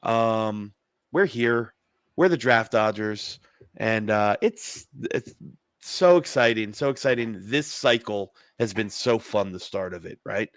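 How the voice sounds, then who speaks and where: A man co-hosting a casual sports podcast, a little hoarse from yelling, rambling with lots of fillers and restarts. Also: on the low side, slow, dry recording. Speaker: podcast co-host.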